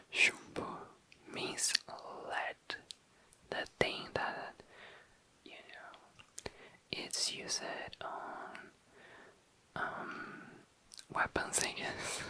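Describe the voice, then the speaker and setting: A whispering ASMR creator with a very bright, sibilant edge to every breathy word. The delivery is slow and lingering, close to the microphone, as if showing a product piece by piece. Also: low-quality recording. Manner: slow, lingering, repeats words softly.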